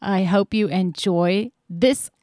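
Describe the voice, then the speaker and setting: A woman in her thirties hosts a podcast, chatting in an easygoing, upbeat way and laughing often. Her voice sits at a middle pitch and sounds close and dry, with no room sound around it. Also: slow, darkish tone, dry recording. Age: 30s. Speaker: podcast host. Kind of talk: casual podcast intro and interview.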